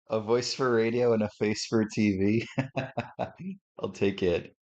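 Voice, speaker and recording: A man with a deep, sportscaster-like radio voice, hosting a relaxed live reaction stream from home and thinking aloud as he talks. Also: takes it slowly. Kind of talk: casual live game reaction commentary. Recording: slightly muffled lo-fi audio.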